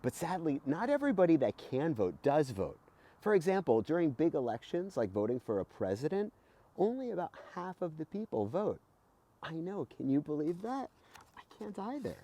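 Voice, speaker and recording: A middle-aged American man, a teacher reading aloud to children with an expressive, emphatic delivery and stretched key words, recorded outdoors at close range. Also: fairly high pitch, normal speed. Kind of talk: animated children's read-aloud with asides. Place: outdoors beside a house in a garden.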